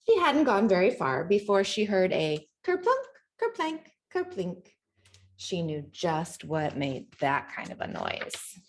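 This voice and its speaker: A woman reading a children's picture book aloud in an expressive storytelling manner, acting out the sounds and dialogue. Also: on the low side, slight background noise. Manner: animated, acts out sounds and dialogue. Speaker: storybook reader.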